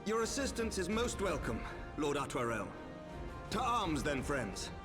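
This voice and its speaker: Resolute, formal knight commander. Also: male voice, on the high side, big pitch swings, music in the background. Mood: grave command. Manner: formal, authoritative, measured. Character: resolute noble male knight commander, grave and dutiful. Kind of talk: video game voice acting.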